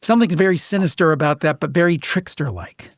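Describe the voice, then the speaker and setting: A man hosting a podcast, telling stories casually and emphatically, with deliberate pauses. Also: fairly high pitch, no room sound, studio quality. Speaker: podcast host. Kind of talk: casual conversational storytelling.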